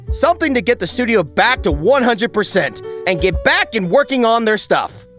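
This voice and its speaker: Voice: medium pitch, a bit bright. A video essay host narrating a script in a sarcastic, exaggerated way and swearing freely. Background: background music.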